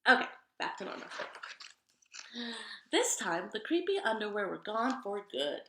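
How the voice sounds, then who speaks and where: A woman reading a picture book aloud to young children, expressive and chatty, with asides to her listeners. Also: medium pitch, unhurried, echoey room. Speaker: read-aloud storyteller.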